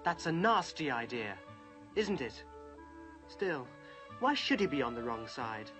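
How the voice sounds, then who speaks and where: A man's voice: medium pitch, sing-song, a little slow. A young actor playing a sensible, protective eldest brother in his teens in a children's fantasy audio drama, earnest, polite and decisive. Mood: doubtful. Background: music.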